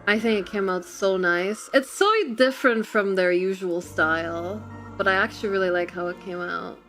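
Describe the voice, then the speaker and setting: A woman hosting a casual livestream, talking in an expressive and chatty way. Her voice sits at an ordinary female pitch, with music playing behind her. She comes across as giggly and informal, as if talking directly to a chat. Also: normal speed. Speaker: livestream host and artist. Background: background music.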